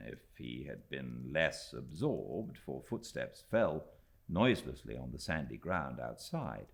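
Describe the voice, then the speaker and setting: A British man narrates classic literature in an extremely low voice. There is a gravelly creak to it. His delivery is measured and expressive, with deliberate pauses that shape each phrase. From Britain. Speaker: audiobook narrator. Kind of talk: literary audiobook reading of a classic novel.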